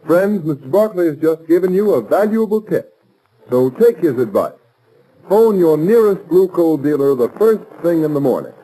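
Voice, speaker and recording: An American man announcing on 1930s radio in a formal, measured manner. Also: a little high, big pitch swings, mellow, rough recording. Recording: vintage 1930s radio recording.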